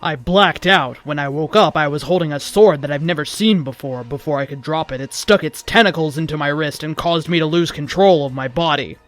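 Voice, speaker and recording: Higher-pitched man narrating a story. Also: a little fast, hardly any pauses. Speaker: host reading fan fiction. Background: background music.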